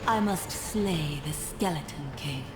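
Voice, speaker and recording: A woman playing an aloof, resolute necromancer in a fantasy game, terse, detached and deliberate. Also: low voice, background noise. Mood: cool, detached. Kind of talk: restrained fantasy game acting.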